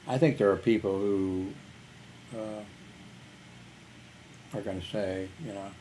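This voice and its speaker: A man's voice: lowish voice, fairly even intonation, slow, noisy background. A man telling personal stories in a rambling vlog, filling gaps with "you know" and chuckling. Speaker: YouTuber. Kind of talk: casual storytelling vlog.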